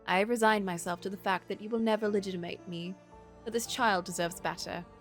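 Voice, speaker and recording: A woman's voice: medium pitch, fairly even intonation, brisk, faint music behind, lightly acted. A woman voicing a lord's aggrieved mistress in a visual novel, pleading formally and earnestly. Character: aggrieved mistress, mother of an illegitimate child, pleading.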